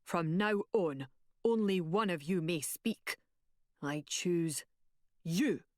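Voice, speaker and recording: A woman voicing a stern, commanding bearded male warrior chief who speaks in slow, imperious pronouncements. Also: lowish voice, darkish tone, dry recording, studio quality.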